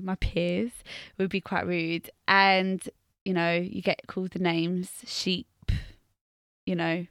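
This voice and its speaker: A woman's voice: medium pitch, fairly bright tone, no echo, clean studio sound. A woman in her thirties from Wales, co-hosting a podcast in a chatty, self-mocking storytelling way and laughing often. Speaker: podcast co-host, teacher. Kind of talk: casual chat.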